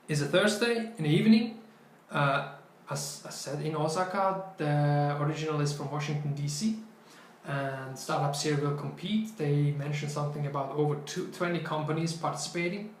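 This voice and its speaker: A young man who is not a native English speaker co-hosts a relaxed startup chat, his voice sitting a little high. He talks in loose bursts with frequent restarts. The small office around him is echoey, with a faint hiss behind. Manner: loose, halting, with many restarts. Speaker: co-host. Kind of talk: casual podcast chat. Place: small bright office room.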